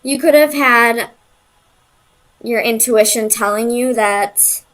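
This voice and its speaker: A woman's voice: fairly high pitch, slow, fairly bright tone, low-quality recording. Woman thinking aloud, casual and hesitant. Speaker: tarot reader. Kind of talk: casual conversational tarot reading.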